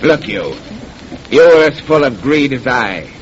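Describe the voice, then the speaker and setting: A sly pirate captain who commands with silky mockery. His theatrical voice swings widely in pitch. It comes from an old radio horror play, dull in sound with some hiss. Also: very animated, measured pace. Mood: sardonic. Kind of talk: old-time radio horror drama acting. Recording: muffled, noisy old radio recording.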